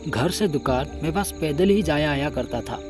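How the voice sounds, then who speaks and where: Higher-pitched man telling a horror story. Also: animated, normal speed, music in the background. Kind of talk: dramatic scripted first-person horror storytelling.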